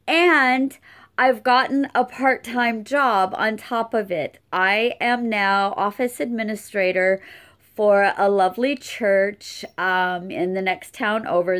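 Slow, smooth-voiced tired woman vlogging. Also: mid-range voice. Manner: chatty, unhurried, lots of fillers. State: tired, losing her voice. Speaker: channel host. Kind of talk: casual update vlog. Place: cluttered room at home. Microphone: webcam close up.

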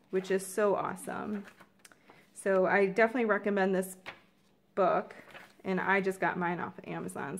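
A woman's voice: mid-range voice, creaky. A woman in her twenties, chatting casually and upbeat in a home how-to vlog, with lots of ums and likes. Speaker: vlogger. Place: home room indoors, then a desk.

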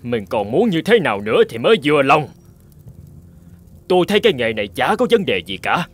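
Music plays under a stubborn hunter of middling pitch. He is a greedy family man from an animated fable. He talks brusquely and brags, waving away any objection. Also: fairly quick. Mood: stubborn, annoyed. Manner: brusque, boastful, dismissive. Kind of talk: animated folk tale dialogue. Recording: music behind the voice.

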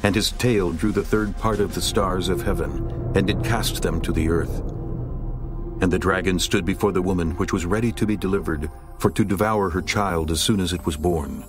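A man narrating a dramatized scripture reading in a steady, measured way. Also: sits low. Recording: narration over background music.

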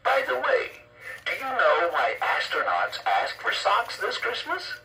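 Bright, high-voiced talking Christmas tree. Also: male voice, huge pitch swings, measured pace, gravelly, distant mic, full character voice. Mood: playful, boastful. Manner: theatrical, punning, playfully vain. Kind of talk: scripted toy character performance, recitation, jokes and crooning. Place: played from a toy's speaker indoors. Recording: noisy cassette playback heard across a room.

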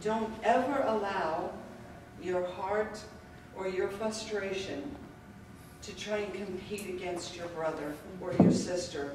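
A woman's voice: medium pitch, takes it slowly. Older lady introducing a speaker, preachy and encouraging, big echoey room, faint hiss. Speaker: event host introducing a speaker. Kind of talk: inspirational introduction. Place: hotel meeting room. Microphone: podium mic on a stand, recorded by a far camera. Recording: echoey room from far off, noisy.

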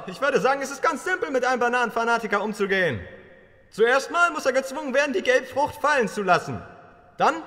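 A pompous, bullying army gym instructor in a comedy sketch dub. The voice is very high and sharp, swooping wildly as he barks and badgers. It rings in a long hall reverb. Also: full character voice. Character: manic bullying military self-defence instructor, pompous, easily enraged.

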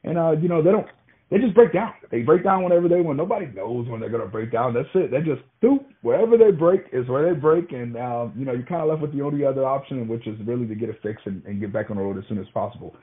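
A man's voice: mid-range voice, quick pace. A man talking casually and at length on a phone call, agreeable and often repeating himself. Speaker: freight broker, former truck driver. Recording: tinny band-limited phone call.

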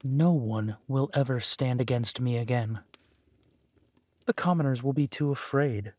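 Man acting as possessive villain spouse, unhurried delivery, tender yet threatening, theatrical audio drama, dry recording. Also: medium pitch, darkish tone, dry recording, close to an everyday voice. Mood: gloating, menacing. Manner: slow, soothing, darkly theatrical. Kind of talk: scripted roleplay audio drama.

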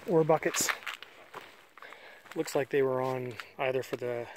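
A man's voice: medium pitch, fairly even intonation, a little slow, background noise. A man filming and casually narrating a walk through an old mine site outdoors, thinking aloud with fillers on a camera mic. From Canada. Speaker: mine explorer filming a walkthrough.

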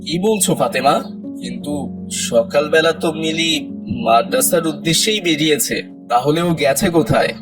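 A man's voice: on the high side, animated, moderate pace, music in the background, close to an everyday voice, poor audio. Man playing a stern, scolding cartoon father. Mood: concerned. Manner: scolding, dramatic. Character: stern, indignant pious father, middle-aged village haji. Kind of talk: moral cartoon voice acting.

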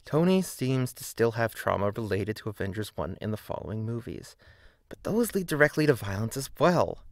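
A man's voice: lowish voice, big pitch swings, normal speed, no echo, studio quality. A man narrating a scripted video essay in a critical, emphatic, argumentative way.

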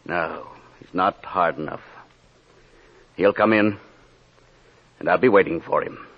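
A calm, crafty police inspector with a low, deep voice. He speaks in a measured, knowing way. It is a dull-sounding old radio drama recording. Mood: confident, menacing. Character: calm, patient, cunning male police inspector.

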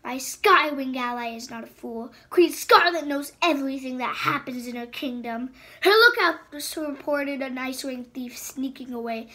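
A woman voicing a scheming, venomous female sand dragon queen who hisses and mocks, reading a storybook aloud. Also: sits high. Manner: menacing, mocking, hissing taunts. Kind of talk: storybook read-aloud voice acting.

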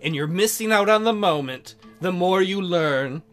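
A woman's voice: fairly low pitch, lively intonation, moderate pace, soft background music. A woman doing casual, goofy game commentary as a let's play host, joking crudely and rambling.

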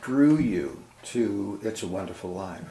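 A man interviewing casually, speaking haltingly as he searches for words. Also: lowish voice, lively intonation, dark tone. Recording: roomy sound with faint noise.

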